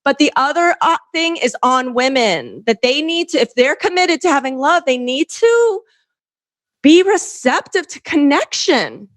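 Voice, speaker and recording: A woman with a distinctly high voice speaks as a coach being interviewed. She explains in a conversational, earnest way. Key phrases get repeated and stressed for emphasis. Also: big pitch swings, unhurried. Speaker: guest dating coach and matchmaker.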